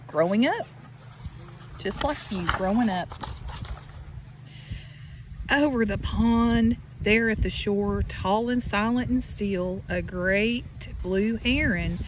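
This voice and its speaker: A woman teacher reading a picture book aloud to children, deliberate and expressive, with questions and asides to her listeners. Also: mid-range voice, poor audio. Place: outdoors beside a natural pond. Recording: steady outdoor background noise. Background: birds and outdoor ambience.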